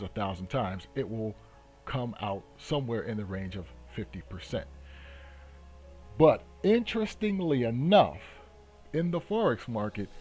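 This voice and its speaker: Deep-voiced man hosting a trading channel. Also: a little mellow. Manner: halting, emphatic, repeats points for stress. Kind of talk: casual explanatory commentary.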